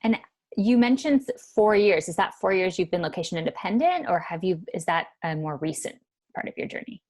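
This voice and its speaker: A woman host asks her guest questions in a chatty, encouraging way. Her voice sits somewhat low for a woman. She keeps the casual interview moving along. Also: expressive, brisk, darkish tone. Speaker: podcast host, co-founder.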